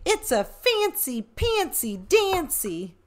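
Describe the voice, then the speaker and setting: A woman voicing a cocky, mischievous old storybook leprechaun, taunting and boasting in drawn-out rhymes. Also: very high voice, very animated, voice pushed up, full character voice. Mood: smug, boastful. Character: cocky two-hundred-year-old male leprechaun, mischievous storybook trickster.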